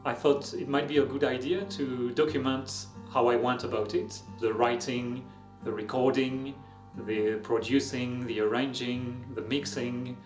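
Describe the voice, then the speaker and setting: A man's voice: medium pitch, steady tone, measured pace, echoey room. A man with a French accent, hosting a music-making vlog and explaining his work with wry, self-deprecating humor. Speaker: musician and video host. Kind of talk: casual explanatory vlog narration.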